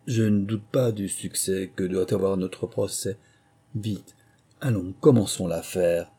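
A man's voice: deep voice, fairly even intonation, a little slow, dark tone, husky. A man voicing a malicious storybook dog who sets himself up as judge, sly and commanding. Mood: brisk, scheming.

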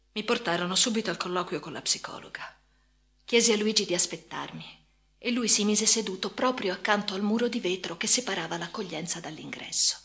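A woman's voice: medium pitch, vocal fry, a bit bright. An actress playing a haunted woman patient in a first-person radio drama monologue, speaking intimately and often dropping toward a whisper. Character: haunted woman patient recounting institutional life. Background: music.

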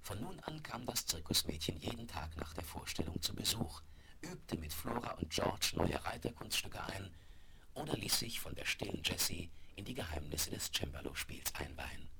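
A man narrating a 1970s German children's radio play in a measured, literary storytelling manner. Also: sits low, sing-song, vocal fry, heavy background noise, heavily acted. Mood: calm narration. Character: storyteller of a children's horse adventure.

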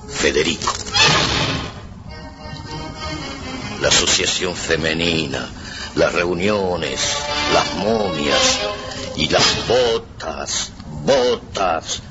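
Dull archive radio drama with loud music behind. a haunted, tormented soul who broods over his past. He declaims extremely slowly and haltingly. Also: mid-range voice. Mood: agitated, haunted. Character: tormented man returning home, brooding over lost memories. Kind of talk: old radio drama monologue, declamatory recitation.

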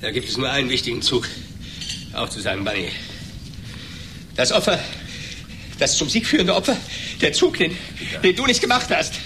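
A man's voice: mid-range voice, moderate pace, pauses often, background noise, lightly acted. An actor in a radio play playing a taunting, combative man who goads his rival with heated, repeated words. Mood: scornful.

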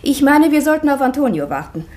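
A blunt, dry-witted maid. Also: female voice, high-pitched, very animated, brisk. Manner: dry, matter-of-fact, gently mocking. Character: blunt, sharp-tongued maid, pockmarked, practical. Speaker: Emilia, the maid. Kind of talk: 1960s German radio play acting.

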